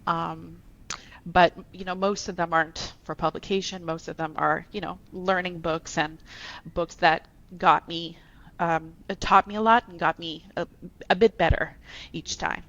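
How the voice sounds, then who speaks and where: Woman author with a gravelly voice. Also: fairly low pitch, steady tone, a little slow, fairly bright tone, dull audio. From Canada. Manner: chatty, many ums and restarts. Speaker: author, guest. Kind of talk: casual interview talk.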